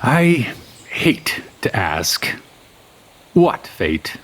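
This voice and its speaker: A man playing a brooding, fiery adventurer in a fantasy audio drama, with a husky voice and a hesitant, halting manner. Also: mid-range voice, big pitch swings, a bit bright, heavily acted. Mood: brooding, wary.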